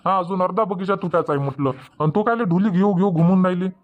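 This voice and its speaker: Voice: mid-range voice, fast, narrow, tinny audio, close to an everyday voice. A performer voicing an argumentative male farmer in a comic cartoon, with a rustic Varhadi Marathi accent and grumbling manner. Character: easygoing but argumentative male village farmer husband. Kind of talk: comic cartoon dialogue dubbing.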